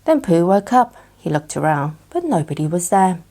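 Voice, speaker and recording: A woman narrating a children's bedtime story as an expressive storyteller. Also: fairly low pitch, moderate pace.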